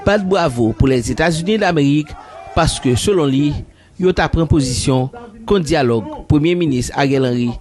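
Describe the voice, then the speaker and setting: A man narrating a news report in a steady reporting delivery. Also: a little high, moderate pace.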